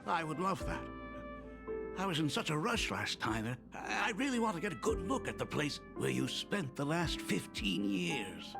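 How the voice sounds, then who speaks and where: A man's voice: a little high, very animated, normal speed, creaky, over music. An actor playing an eager, earnest artist and fond father in a video game, hesitant and full of wonder. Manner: hesitant, marveling.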